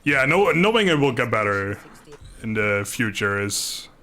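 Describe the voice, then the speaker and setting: A man livestreaming a video game, chatting informally and thinking aloud in a halting, casual way. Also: on the low side, very animated, sharp tone. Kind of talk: casual livestream chat over gameplay.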